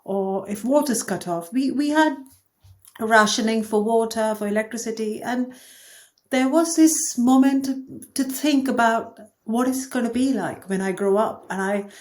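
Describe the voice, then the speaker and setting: A woman's voice: on the high side, unhurried, darkish tone. A woman from India, speaking as a podcast guest in a thoughtful, candid conversational manner. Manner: thoughtful, candid, light laughs. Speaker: podcast guest, agency co-founder. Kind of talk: reflective conversational interview.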